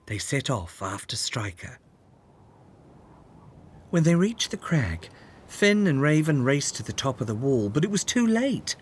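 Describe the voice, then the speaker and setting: A man narrating a scripted children's story in an expressive, dramatic way. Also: on the high side, husky.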